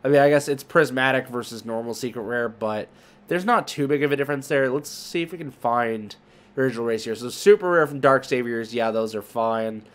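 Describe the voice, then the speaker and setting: A man's voice: medium pitch, brisk. A man with a mid-range voice hosts a video in a casual, conversational way. He talks off the cuff, thinking aloud as he browses and comments. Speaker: YouTube host.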